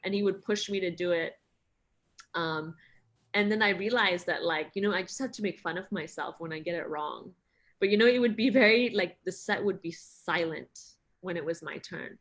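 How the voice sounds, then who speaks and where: A woman speaking as an interview guest, her voice bright and a touch low. She chats easily and laughs at herself. She circles back often with ums and restarts. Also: moderate pace. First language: not Thai. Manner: chatty, self-deprecating, many fillers and restarts. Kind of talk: casual interview chat. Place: in Thailand.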